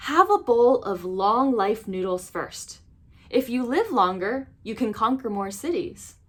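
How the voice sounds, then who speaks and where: A woman voicing a brave, quick-witted young girl in a storybook, crying out urgently and persuasively. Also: on the high side, expressive.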